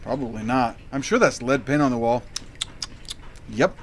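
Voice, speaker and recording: An actor playing a clueless, quirky dad detective, narrating in an earnest, scene-setting way for a comic audio drama. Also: male voice, medium pitch, expressive, moderate pace, slight background noise, lightly acted. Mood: puzzled. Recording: faint music under the voice.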